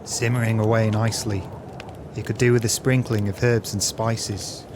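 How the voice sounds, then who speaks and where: An actor playing a gleeful, crooked swindler with a sly, florid, falsely friendly manner in an audio play. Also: male voice, fairly low pitch, little pitch movement, normal speed, background noise, close to an everyday voice. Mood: sly scheming.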